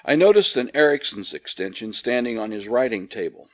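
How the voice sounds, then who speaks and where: A man narrating an old ghost story from a script in a measured, formal, dryly cynical storytelling manner. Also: mid-range voice, expressive. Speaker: audiobook reader.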